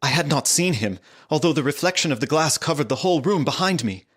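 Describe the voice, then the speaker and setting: A man playing a frightened young English gentleman prisoner, giving formal, dramatic diary narration in a gothic audio drama. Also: on the high side, lively intonation, fast, breathy edge. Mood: grim, uneasy. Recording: music underneath the voice.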